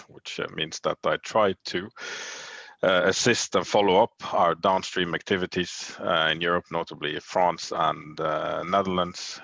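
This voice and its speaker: A man introducing himself on a conference panel, polite and a little hesitant. His voice is very deep and gravelly, heard dry and a bit muffled. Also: pushed and bright, no room sound. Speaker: panelist, sales and distribution manager.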